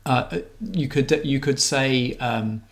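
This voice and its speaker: A man's voice: mid-range voice, slow. A man co-hosting a podcast, discussing things casually in a considered, articulate way. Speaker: podcast co-host.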